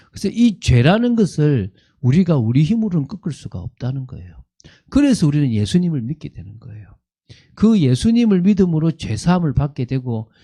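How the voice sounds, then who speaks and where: A man's voice: medium pitch, mellow, husky. A man, a pastor, teaching a Bible lesson, repeating points and asking questions that he answers himself. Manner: repetitive, asks questions and answers them himself.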